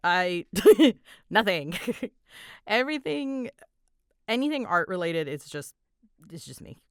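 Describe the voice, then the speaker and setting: Young female creator, casual Q&A chat, a touch low-pitched, informal and giggly, very dry sound. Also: sing-song, slow, a bit bright, smooth, no room sound. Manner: self-deprecating, giggly, informal. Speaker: voice actor and channel creator. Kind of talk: casual solo Q&A chat to listeners.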